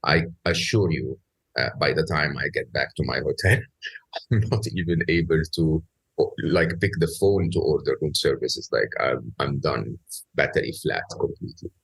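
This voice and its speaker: A man in his fifties with an other than English, hosting a podcast in casual conversation, soft-spoken with halting restarts. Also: rumbling low, gravelly, slightly rough, poor audio. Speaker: podcast host.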